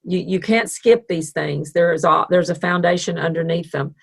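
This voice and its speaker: A woman's voice: fairly low pitch, normal speed, creaky, breathy edge. A woman teaching an online art class over a video call, chatty and upbeat with self-deprecating asides. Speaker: art instructor.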